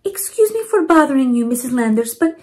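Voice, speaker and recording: A woman's voice: high-pitched, big pitch swings, brisk, dark tone, voice pushed up. A woman voicing a kindly, eager grandmother in a children's storybook, asking politely and sweetly.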